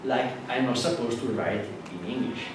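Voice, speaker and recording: Italian guy teaching a class, lots of uhs and restarts, distant mic, noisy background. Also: mid-range voice. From Italy. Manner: halting, many fillers and restarts. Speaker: lecturer. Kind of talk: classroom lecture. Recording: echoey hall from far off with noise.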